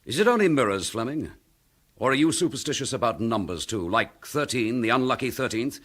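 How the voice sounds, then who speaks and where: An actor playing a calm, firm police chief inspector in a classic radio drama, polite but commanding. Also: male voice, medium pitch, fairly quick. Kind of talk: 1950s-style radio drama acting.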